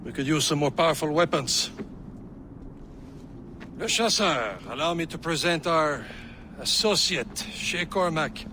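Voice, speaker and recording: A man playing a composed gentleman conspirator with a formal, measured manner in a video game. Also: on the high side, animated, slight background noise. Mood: businesslike. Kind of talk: dramatic video game acting. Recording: music behind.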